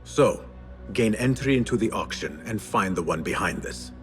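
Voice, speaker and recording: An actor playing an earnest, curious young male assassin in a video game, sincere and soft-spoken. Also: on the low side, expressive, moderate pace, faint music behind, natural voice. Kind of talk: video game character acting.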